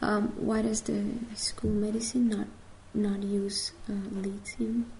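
A woman with a creaky, fairly even voice relays audience questions as a live interpreter. She builds her sentences hesitantly, piece by piece, with clear noise around her. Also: medium pitch, slow pace. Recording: noisy room ambience.

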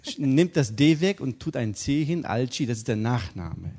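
Middle-aged preacher guy from turkey, jokey storyteller, lively. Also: medium pitch, normal speed. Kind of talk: interview and sermon.

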